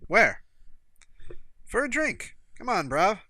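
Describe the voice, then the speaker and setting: Audiobook role: man playing breezy British teen lad, slangy, friendly, raised pitch. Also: very animated, takes it slowly, sharp tone, dry recording, heavily acted. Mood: upbeat, coaxing. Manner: casual, slangy British street talk.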